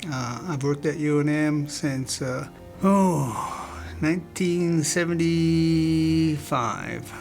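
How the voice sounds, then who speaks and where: A man in his sixties introduces himself slowly, his gravelly voice broken by pauses and fillers. He speaks a little higher than most men, with faint music underneath. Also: a little mellow. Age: 60s. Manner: hesitant, halting with fillers. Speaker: interviewee, university staff. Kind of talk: self-introduction on camera.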